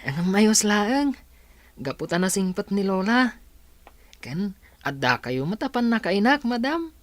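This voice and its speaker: Radio drama role: caring young Filipino household helper played by a woman, medium pitch, courteous. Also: big pitch swings, a little slow, dry recording. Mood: concerned, gentle. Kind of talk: Ilocano radio drama acting.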